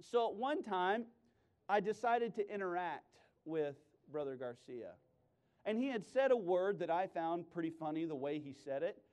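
Male preacher, high voice, emphatic delivery with sudden loud bursts and wry, joking storytelling. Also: expressive, moderate pace. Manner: playful, self-deprecating, sarcastic asides. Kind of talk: animated sermon with humorous storytelling.

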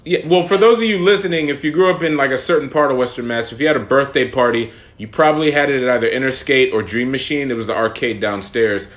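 A man's voice: mid-range voice, quick pace, hardly any pauses, fairly bright tone. A man in his thirties reminiscing in casual podcast chat as a co-host. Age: 30s. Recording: echoey room sound.

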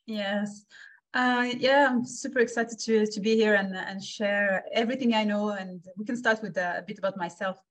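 A woman in her forties from Switzerland, telling her story conversationally as a podcast guest, energetic and repeating words for emphasis. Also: mid-range voice, moderate pace. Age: 40s. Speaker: podcast guest, founder and investor.

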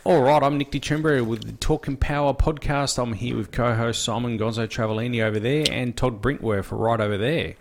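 A man's voice: mid-range voice, fairly even intonation, gravelly, faint music behind. A man hosting a casual sports podcast in a small studio, chatty and wry. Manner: chatty, wry, quick to agree. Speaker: podcast host.

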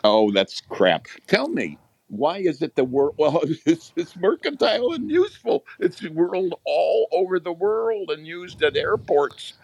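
A man's voice: fairly high pitch, sing-song, creaky. A man co-hosting a podcast chat, voicing blunt opinions and often restarting his sentences. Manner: opinionated, halting with repeated restarts. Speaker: podcast co-host. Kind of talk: casual panel discussion.

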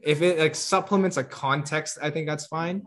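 Man, casual podcast chat, animated, frequent laughter, repeats words. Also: on the high side, moderate pace. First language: English.

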